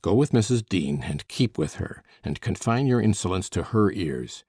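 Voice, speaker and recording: Woman voicing a savage, husky, low-pitched older tyrant. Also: animated, normal speed, warm tone, no echo, studio quality, exaggerated voice. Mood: grim, menacing. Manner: savage, commanding, threatening. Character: furious, menacing older male master, tyrannical father-in-law.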